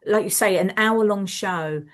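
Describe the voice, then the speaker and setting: A woman interviewing a guest in a conversational way, supportive and quick to affirm. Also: medium pitch. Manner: supportive, frequent affirming backchannels.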